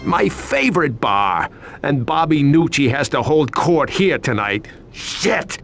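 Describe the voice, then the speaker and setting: Harried, creaky, higher-voiced guy. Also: big pitch swings, slow pace, a bit bright, faint music behind, heavily acted. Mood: exasperated. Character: harried, exasperated man fretting under pressure. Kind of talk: video game acting.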